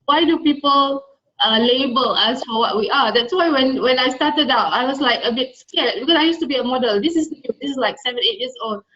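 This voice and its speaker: A woman's voice: sits high, fairly quick, pushed and bright, dull audio. A Singaporean woman being interviewed, talking conversationally and motivationally in an emphatic way that repeats key phrases. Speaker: interview guest, coach.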